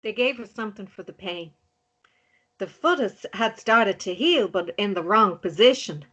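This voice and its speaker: A woman's voice: mid-range voice, animated, normal speed, a bit bright. A woman playing a caring, concerned mother in a table read of a drama. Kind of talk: acted screenplay table read. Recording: dull, lo-fi microphone sound.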